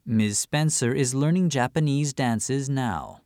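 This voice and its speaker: Slow, deliberate man reading test items. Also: medium pitch, darkish tone, no room sound, studio quality. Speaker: listening test reader.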